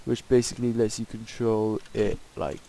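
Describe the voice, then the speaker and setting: A man giving a casual, improvised software tutorial in a hesitant, rambling way. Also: on the low side, flat delivery, normal speed, rough recording. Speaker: tutorial presenter. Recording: hissy home microphone recording.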